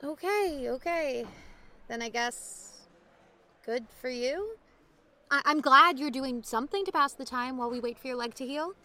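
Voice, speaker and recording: An excitable teenage soccer player, played by a woman in a naturalistic audio drama. Her voice is high and bright. She talks in a chatty, earnest way, probing with open concern for her friend. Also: a little slow, full character voice.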